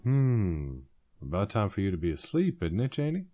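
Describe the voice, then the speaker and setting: A man's voice: fairly low pitch, very animated, normal speed, mellow, faint music behind. A man playing an easygoing, kindly middle-aged husband and uncle in an old-time radio drama. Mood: mild, kindly. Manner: casual, genial.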